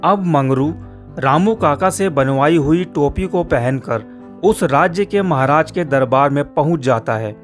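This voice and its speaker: A man tells a scripted moral tale as a cartoon narrator. His voice is a little high and unhurried, with soft guitar music behind it.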